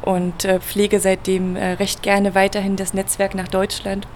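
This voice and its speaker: A woman's voice: mid-range voice, monotone, unhurried, a little hiss or hum. A woman from Berlin, a planetary scientist, explaining her research in a conversational interview with patient everyday examples and some fillers. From Berlin, Germany. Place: event venue.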